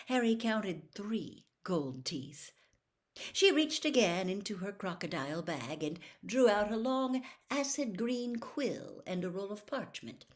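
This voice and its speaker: A woman narrates fiction as a storyteller reading aloud. Her voice sits at a middle pitch for a woman. The delivery is expressive, in the manner of an audiobook.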